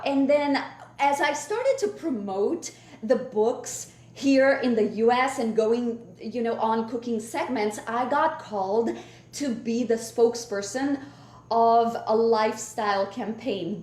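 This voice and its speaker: A middle-aged Brazilian woman with a fairly high voice and a Brazilian accent from her native Portuguese. She tells her story as an enthusiastic, passionate guest in a conversational interview. The sound is that of an echoey room over a video call. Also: lively intonation, slow, a little mellow. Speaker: guest chef and cookbook author.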